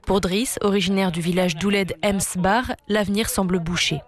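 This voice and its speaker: A woman reporter narrating a radio news report in a steady, descriptive way. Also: mid-range voice, moderate pace.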